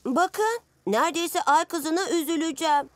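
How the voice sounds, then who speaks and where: An actor playing an eager, playful little boy lizard superhero in a dubbed animated children's series. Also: extremely high pitch, unhurried, a little hiss or hum. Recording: loud music under the voice.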